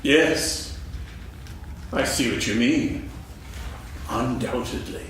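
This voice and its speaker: A man's voice: mid-range voice, drawn-out words, fairly bright tone. A man playing a pompous, absentminded owl in a staged reading of a children's story, bluffing at being wise. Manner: pompous, ponderous, hesitant bluffing. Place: on stage in a hall, recorded from afar. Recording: echoey hall, distant, faint noise.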